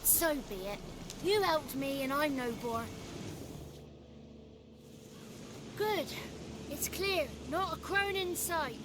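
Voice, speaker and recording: A woman's voice: sits high, expressive, unhurried, background noise. A woman voicing a crude, playful little boy creature in a video game, speaking in a wordy, theatrical way. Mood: cheeky. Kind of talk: video game character acting.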